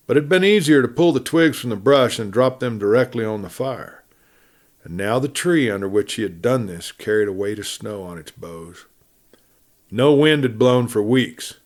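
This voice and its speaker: A man reading a classic adventure tale aloud as a storyteller, in a measured, dramatic way with phrase endings trailing off softly. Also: fairly low pitch. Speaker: audiobook narrator.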